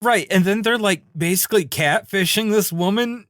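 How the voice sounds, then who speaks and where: A man's voice: high voice, normal speed, fairly bright tone, no room sound. A man co-hosting a podcast in casual comic commentary, tossing in wry, exasperated quips. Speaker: podcast co-host.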